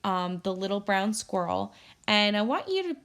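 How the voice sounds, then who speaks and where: A woman's voice: medium pitch, sing-song, normal speed, a bit bright, clear, resonant tone. A woman teacher reading a children's storybook aloud expressively to her pupils.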